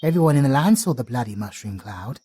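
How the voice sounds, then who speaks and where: A man's voice: mid-range voice, animated, fast talker, mellow, breathy edge. A young man with a British accent, voicing a friendly, chatty male traveler companion who rambles and uses matey slang in an audio roleplay. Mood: storytelling.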